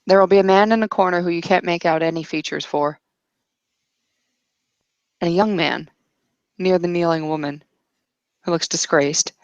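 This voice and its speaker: A woman narrating an improvised tabletop roleplaying story as game master, in a hesitant, descriptive way with pauses. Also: fairly low pitch, steady tone, no echo, lo-fi sound.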